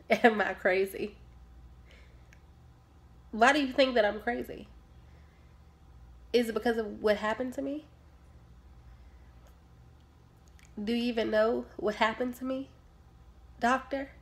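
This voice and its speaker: Girl acting grieving mom in a drama monologue, defensive, highish creaky voice, pointed phrases. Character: grieving, defensive mother questioned about her sanity.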